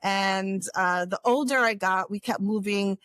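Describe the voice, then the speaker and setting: A woman's voice: mid-range voice, measured pace, fairly bright tone, smooth, no room sound, studio quality. A middle-aged woman from New York, a guest in a casual interview, rambling with frequent restarts and laughs. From New York, United States.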